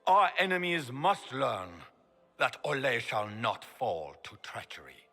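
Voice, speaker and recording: Booming, echoing proud warlord emperor. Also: male voice, big pitch swings, bright, forceful tone, faint music behind, exaggerated voice. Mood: proud, resolute. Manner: pompous, declamatory, deliberate. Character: proud warlike emperor addressing his court. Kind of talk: grand ceremonial oratory. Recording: long hall reverb, orchestral game score behind.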